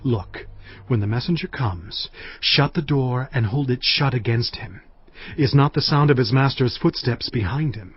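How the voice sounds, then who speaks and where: Man acting as indignant prophet, husky, urgent, bit muffled, audio bible drama. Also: medium pitch, normal speed, dry recording, natural voice. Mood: indignant alarm. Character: indignant prophet warning his elders of danger. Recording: dull, muffled audio over music.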